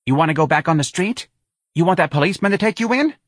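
A man voicing a fretful, nagging older working-class mother with husky, scolding, colloquial speech in an audiobook. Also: fairly high pitch, animated, quick pace, no echo, clean studio sound, voice pushed up. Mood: exasperated. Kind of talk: audiobook character voice.

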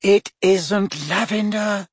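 A man voicing a sensible, brisk, impatient teenage girl for an audiobook. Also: on the high side, sing-song, fairly bright tone, slightly rough, no echo, clean studio sound, voice pushed up, full character voice. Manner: brisk, reasoning.

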